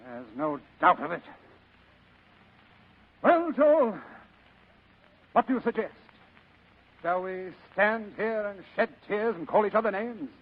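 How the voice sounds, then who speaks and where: An actor playing a courtly, scheming middle-aged criminal mastermind in 1940s radio style, speaking in florid, formally polite phrases. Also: male voice, high-pitched, lively intonation, takes it slowly, pauses often, heavily acted. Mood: resolute. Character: courtly, scheming older male criminal mastermind, the Fat Man. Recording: muffled vintage radio recording.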